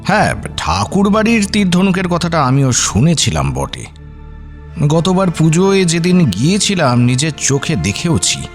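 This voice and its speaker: A man's voice: on the high side, huge pitch swings, moderate pace, soft background music. A man playing an affable local police officer in an audio drama, talking in a genial, conversational way. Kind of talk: Bengali radio drama acting.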